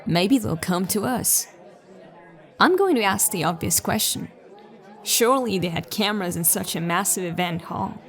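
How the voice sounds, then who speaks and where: A determined female detective in a sci-fi audio drama, played by a woman. She thinks aloud in a dry, sceptical way, with a fry-edged voice at middle pitch. The voice sits slightly away from the microphone. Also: expressive, normal speed, recorded from a distance, some chatter behind, natural voice. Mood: dry, probing. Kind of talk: audio drama dialogue and investigative monologue.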